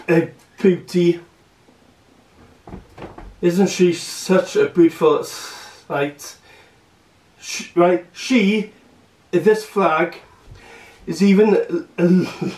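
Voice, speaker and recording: A young man speaks slowly and earnestly to his camera, stumbling over words and filling gaps with "uh". His voice sits a bit high for a man. The sound is echoey and distant, as if the camera sits across a bare room. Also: darkish tone. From Wales. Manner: halting, many fillers and restarts, earnest. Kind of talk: casual vlog speech to camera. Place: small room at home, indoors.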